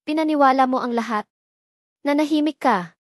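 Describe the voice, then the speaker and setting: A woman's voice: sits high, normal speed, no echo, clean studio sound, voice pushed up, close to an everyday voice. A woman voicing a scornful, proud young pregnant woman who mocks the others. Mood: sneering. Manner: sneering, mocking.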